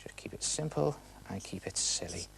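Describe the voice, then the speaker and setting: A man's voice: low voice, expressive, normal speed, creaky, a bit bright, a little hiss or hum, rough recording. A man with a British accent presents a step-by-step drawing demonstration for children, chatting to the viewer and asking questions with playful emphasis. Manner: chatty, asks the viewer questions and answers them. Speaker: presenter of a children's art TV show.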